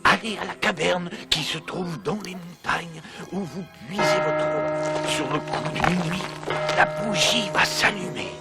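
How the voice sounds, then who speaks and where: A man playing a mysterious Moorish ghost in a French cartoon dub, solemn and urgently instructive. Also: sits high. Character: solemn, insistent Moorish ghost bearing secrets.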